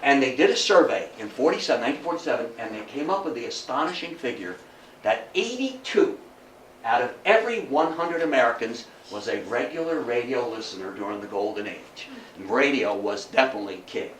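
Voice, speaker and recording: A man's voice: medium pitch, expressive, a little fast, a bit bright. An older man giving an informal public talk in a folksy, emphatic way, often repeating himself. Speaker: guest speaker, old-time radio hobbyist. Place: room at a local society talk. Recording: distant echoey room, faint noise.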